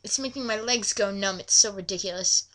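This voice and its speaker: A woman's voice: mid-range voice, brisk, fairly bright tone, slight background noise. A woman giving a mocking dramatic reading of amateur fan fiction, breaking off into exasperated, sweary asides. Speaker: reader.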